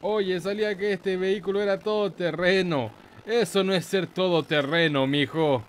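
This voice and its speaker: A man with a Chilean Spanish accent giving casual, excitable let's play commentary in a playful, slangy, exclamatory manner. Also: sits high, moderate pace, a little hiss or hum. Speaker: gaming YouTuber, host.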